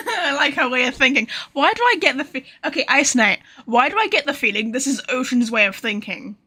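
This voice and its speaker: A woman livestreaming a video game, chatting casually to viewers in an excitable, rambling manner. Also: high-pitched, brisk, husky. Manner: excitable, rambling, swears freely. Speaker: game streamer. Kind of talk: casual live gaming commentary, chatting to viewers.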